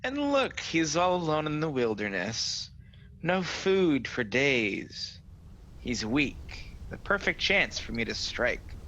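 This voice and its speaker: An actor playing a sly, proud devil who taunts and tempts in a sardonic, theatrical, persuasive manner in a radio play. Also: male voice, on the high side, big pitch swings, takes it slowly, bright, forceful tone, muffled recording, exaggerated voice. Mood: scheming. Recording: noisy home video-call recording.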